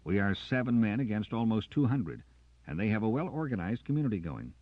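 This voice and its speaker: A man's voice: deep voice, sing-song, brisk, slightly rough, no echo, dull audio, exaggerated voice. A man voicing a weary, evasive male arms officer in an audiobook, speaking in an earnest, persuasive way.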